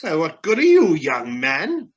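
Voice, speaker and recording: A man acting a crotchety, outspoken old gentleman, formal and pompous, in a radio drama. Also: fairly high pitch, huge pitch swings, measured pace, highs cut off, slight background noise. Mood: indignant, blustering. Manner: blustering, pompous.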